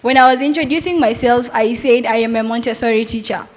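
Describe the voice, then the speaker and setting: A woman's voice: on the high side, brisk, slight background noise. A young adult woman, a Montessori teacher, giving an earnest, persuasive talk at a summit and asking rhetorical questions.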